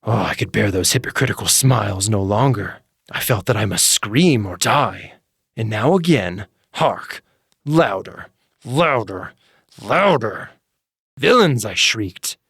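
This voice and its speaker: A man's voice: lowish voice, animated, unhurried, vocal fry, fairly bright tone, slightly rough, dry recording, studio quality. A man narrating a horror story in the first person as a guilt-crazed murderer, with a theatrical, intense and emphatic delivery. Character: guilt-crazed murderer confessing, tormented, manic.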